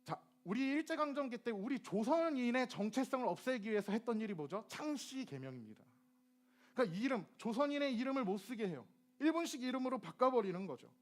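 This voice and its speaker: A man's voice: very high voice, brisk. A young man from Gyeonggi preaching a sermon to teenagers, asking them questions and acting out dialect phrases. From Gyeonggi, South Korea. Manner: lively, asks the audience questions and acts out dialect phrases. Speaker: youth ministry preacher.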